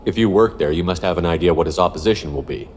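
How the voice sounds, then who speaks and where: An actor playing a stoic, gruff military commander in video game dialogue, terse and businesslike. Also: male voice, low voice, fast talker, a little mellow, a little hiss or hum, lightly acted. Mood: terse, steady.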